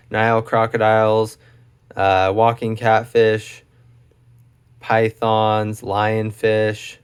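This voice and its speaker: Young guy going on about catfish-type stuff, kinda flat monotone, lots of ums, voice wearing out. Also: lowish voice, clean tone. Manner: rambling, many fillers, enthusiastic about animals. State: losing his voice. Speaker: educational video host. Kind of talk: casual unscripted explainer.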